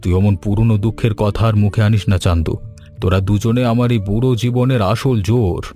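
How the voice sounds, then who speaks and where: A man's voice: lowish voice, fairly even intonation, fairly quick, warm tone, lightly acted. A man voicing a kind, grateful old village uncle in a cartoon story, speaking in an earnest, sentimental way. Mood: moved, grateful. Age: older. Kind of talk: Bengali cartoon story voice acting. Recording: music behind the voice. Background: music.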